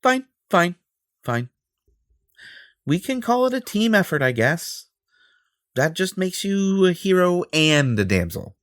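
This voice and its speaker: A man's voice: high-pitched, sing-song, slow, pauses often, a bit bright, dry recording, clean studio sound, full character voice. A man playing a vain, possessive superhero in an audio roleplay, theatrical and self-important, with a flirtatious streak. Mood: teasing, defensive.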